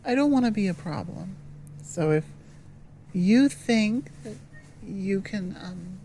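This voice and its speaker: Slow-talking, shy goblin girl, acted by a woman. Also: medium pitch, animated, dark tone, slight background noise, low-quality recording. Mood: earnest, apologetic. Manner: hesitant, halting, rambling and apologetic. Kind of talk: ASMR audio roleplay, intimate one-sided conversation.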